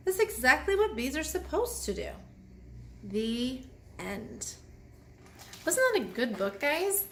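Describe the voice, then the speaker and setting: Female children's story reader, high voice, highly animated, expressive, addressing young listeners. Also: slight background noise. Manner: expressive, asks the listeners questions. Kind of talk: read-aloud storytelling with asides to children.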